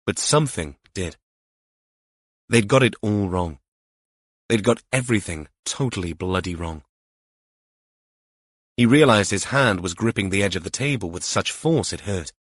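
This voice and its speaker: Low, husky male audiobook narrator. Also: expressive, moderate pace, long pauses, dry recording, studio quality. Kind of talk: scripted mystery fiction narration.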